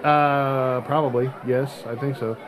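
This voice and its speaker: Halting male podcast host, chatter behind him. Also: medium pitch, fairly even intonation, over music, poor audio. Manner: halting, searching for words. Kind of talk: casual podcast chat.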